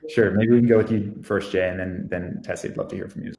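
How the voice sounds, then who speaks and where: A man with a lowish, gravelly voice moderates a conference panel, restarting words as he works toward his questions. The sound is roomy and echoey, with faint noise underneath. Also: steady tone, fast talker, warm tone. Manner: hesitant, repeating words as he frames questions.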